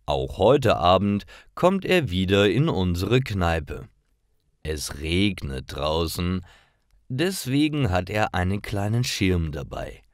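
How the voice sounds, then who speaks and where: A man reading scripted stories aloud for language learners in a careful, deliberate manner. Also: low voice, very animated, no room sound, clean studio sound.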